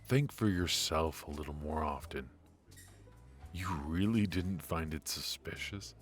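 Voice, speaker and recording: An actor playing a brooding, ruthless mafia boss in an intimate noir ASMR roleplay, speaking in a measured, weary, confiding way with heavy pauses. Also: male voice, a bass voice, a bit bright, exaggerated voice. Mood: probing, condescending.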